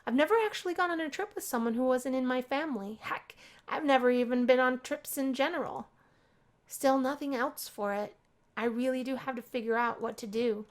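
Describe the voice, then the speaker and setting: A woman narrating a visual novel let's play, reading the story aloud in an expressive storybook way. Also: high voice, a little fast. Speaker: gaming video creator.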